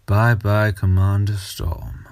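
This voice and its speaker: A man playing a sadistic, campy alien villain in an audio drama, with theatrical, mocking, drawn-out delivery. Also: fairly low pitch, level tone, darkish tone. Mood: smug menace.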